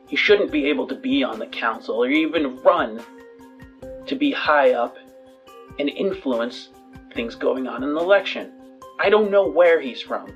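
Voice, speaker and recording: A man voicing an aggrieved, wary male informant, needling and halting, in a science fiction tabletop game. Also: medium pitch, lo-fi sound. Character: gruff, aggrieved male informant calling a powerful client.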